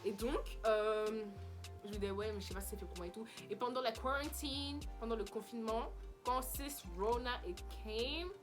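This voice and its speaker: A woman's voice: on the high side, normal speed, music in the background. A seventeen-year-old woman from Belgium, chatting casually as a vlogger and mixing in English phrases. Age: teens. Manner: chatty, mixes in English phrases, many fillers. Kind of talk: casual chat.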